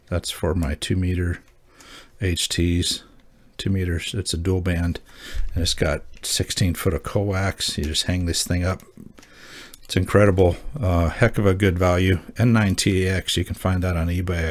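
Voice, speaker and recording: Low-voiced man in casual show-and-tell. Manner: unscripted, halting, folksy and informal. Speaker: host of a hobby radio gear video.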